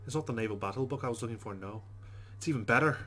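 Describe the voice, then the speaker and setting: A man's voice: medium pitch, rapid-fire, fairly bright tone. A man hosting a let's play, reading visual novel text aloud and adding informal, chatty commentary.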